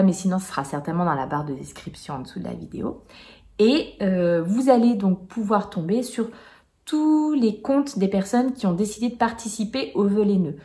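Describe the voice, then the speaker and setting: A woman's voice: mid-range voice, mellow. Chatty woman in her forties, hesitant. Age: 40s. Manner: chatty, hesitant with frequent "euh" fillers and light laughs. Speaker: knitting vlogger. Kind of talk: casual chat to camera.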